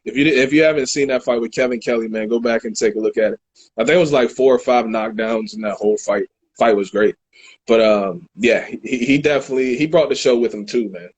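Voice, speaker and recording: A man's voice: mid-range voice, a little fast, slightly rough, low-quality recording. A man in his thirties in a casual boxing chat, laid-back and slangy, calling people dog and bruh. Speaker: podcast co-host.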